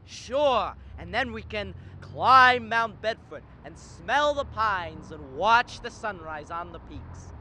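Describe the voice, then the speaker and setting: A young actor playing a restless, kind-hearted man in a radio drama, halting and wry in delivery. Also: medium pitch, animated, pushed and bright. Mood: restless, dreamy. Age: young adult. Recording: faint background noise.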